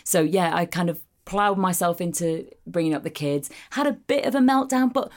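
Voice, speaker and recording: A woman's voice: lowish voice, moderate pace. A woman, co-hosting a podcast in casual, self-deprecating chat with many restarts. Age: 40s. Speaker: podcast co-host. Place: a home, indoors.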